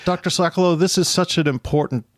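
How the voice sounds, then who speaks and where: A man's voice: on the high side, quick pace, no room sound. A man hosting an interview show, asking questions in a conversational way. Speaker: podcast host interviewing experts.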